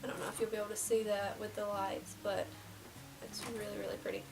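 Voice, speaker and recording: A young woman in her twenties, slightly nasal from a cold, chatting casually through a get-ready-with-me beauty vlog and dropping to soft half-whispers as she works. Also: medium pitch, little pitch movement, quick pace, creaky, distant mic, faint music behind, poor audio. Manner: casual and rambling, drops to near-whispers while applying makeup. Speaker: beauty vlogger. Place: small indoor room before a plain backdrop.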